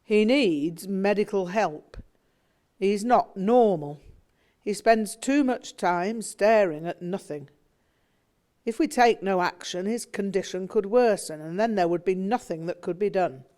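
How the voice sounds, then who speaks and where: A woman reading aloud, voicing a domineering middle-aged father who lectures loudly and insistently. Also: medium pitch, a little slow, close to an everyday voice. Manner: loud, insistent, rhetorical.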